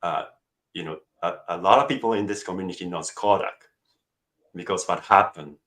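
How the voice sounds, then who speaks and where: A man's voice: low voice, moderate pace, a bit bright. A middle-aged man from Japan with a Japanese accent, chatting as a guest over a video call, self-joking and hesitant with fillers. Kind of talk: casual interview chat.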